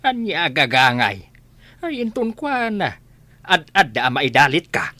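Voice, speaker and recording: A man's voice: on the high side, sing-song, a little slow, bright, forceful tone, no room sound, exaggerated voice. A man playing a blunt, fussing old husband who nags and exclaims in an Ilocano radio comedy drama. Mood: fussing, concerned.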